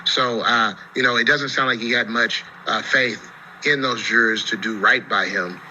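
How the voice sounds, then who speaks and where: A man's voice: medium pitch, steady tone, normal speed, sharp tone, highs cut off, a little hiss or hum. An American man giving conversational legal commentary as an attorney, explaining things with frequent asides of "you know".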